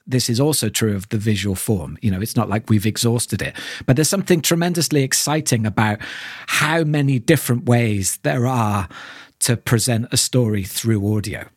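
Husky man, reflective podcast talk, dry sound. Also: medium pitch, moderate pace, no echo. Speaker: podcast co-host. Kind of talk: casual analytical discussion.

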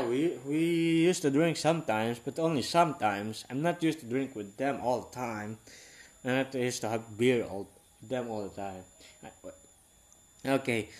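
An eighteen-year-old man from Southeast Asia, English not his first language, talking frankly in a rambling, halting casual monologue. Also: mid-range voice.

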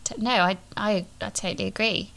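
A woman's voice: mid-range voice, fairly even intonation, brisk, creaky, fairly bright tone. A British woman in her thirties co-hosting a casual book discussion in a conversational, reflective way. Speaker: podcast co-host.

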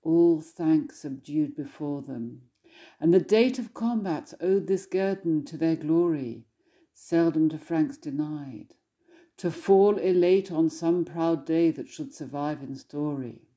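Slow, low-voiced woman reciting solemn poetry. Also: dark tone. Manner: measured, declamatory. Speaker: scholar reading poetry.

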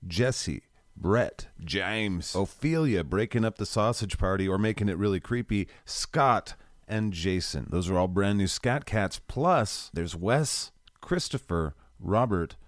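Low-voiced man, casual comedy podcast host. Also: animated, measured pace, dry recording, clean studio sound. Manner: self-deprecating. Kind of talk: casual comedic outro talk.

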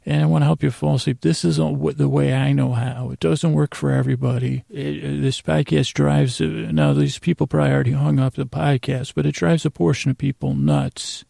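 Very creaky, droning man rambling softly. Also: fairly high pitch, fairly even intonation, no echo, clean studio sound. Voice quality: creaky, gravelly. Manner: droning, meandering, halting with repeated tangents. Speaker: podcast host. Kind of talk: rambling bedtime sleep podcast monologue.

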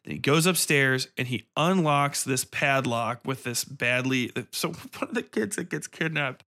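A man's voice: medium pitch, lively intonation, unhurried, sharp tone. A man hosting a podcast, giving a casual, opinionated film review in a profane, incredulous manner.

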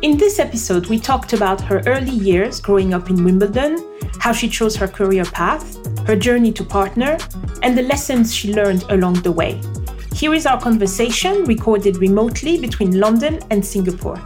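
A woman's voice: mid-range voice, lively intonation, normal speed, darkish tone, faint music behind. A woman hosting a podcast, giving a polished, scripted introduction and interviewing a guest. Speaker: podcast host. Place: remote call. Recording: roomy remote recording.

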